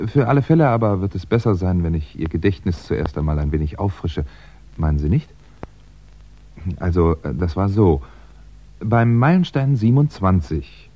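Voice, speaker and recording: Very deep-voiced, wry crime writer. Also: male voice. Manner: measured, conversational storytelling with hesitant asides. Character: wry, composed male crime writer recounting his predicament. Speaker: actor playing narrator and lead. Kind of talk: 1960s German radio crime drama. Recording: dull, band-limited 1960s radio recording.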